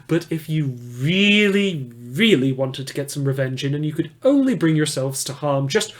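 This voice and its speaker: Give this man acting as a post-apocalyptic radio newsreader a slightly high voice. He delivers a scripted bulletin in a mock-formal way, with wry, snide asides slipped into the official tone. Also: lively intonation, normal speed, natural voice.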